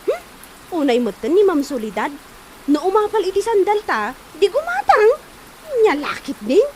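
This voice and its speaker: A woman's voice: very high voice, huge pitch swings, moderate pace, a little hiss or hum, exaggerated voice, low-quality recording. A woman acting a good-natured, proud village woman in an Ilocano comedy drama, with an Ilocano accent. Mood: puzzled, indignant.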